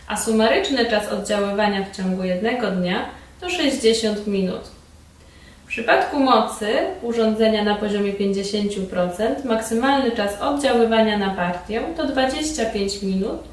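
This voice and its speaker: Female instructor, roomy and echoey sound, formal scripted training narration, measured delivery. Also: on the high side. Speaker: instructor presenting a beauty device training film. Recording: roomy echo, distant mic, background noise.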